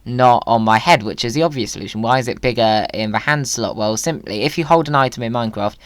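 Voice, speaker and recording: A chatty, playful video host with an excitable, whimsical way of talking, giving gaming commentary. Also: medium pitch, quick pace.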